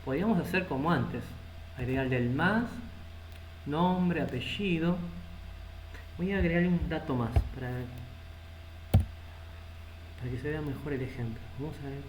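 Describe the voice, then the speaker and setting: A man's voice: fairly high pitch, moderate pace. A man with a Rioplatense Spanish accent teaching a live coding lesson, thinking aloud as he types. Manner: thinks aloud while typing code. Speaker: programming instructor. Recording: echoey room with loud background noise.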